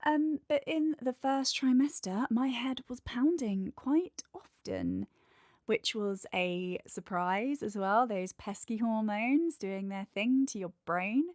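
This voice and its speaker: A woman's voice: a little high, lively intonation, takes it slowly, a little mellow, no echo, studio quality. A congested woman with a nasal voice, hosting a candid, chatty solo podcast and working through a numbered list. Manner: candid, chatty, lists points one by one. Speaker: podcast host. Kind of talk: casual solo podcast monologue.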